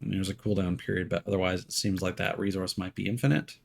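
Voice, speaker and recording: A man's voice: sits low, steady tone, fast, creaky. A man streaming a video game, giving casual live commentary and thinking aloud as he plays.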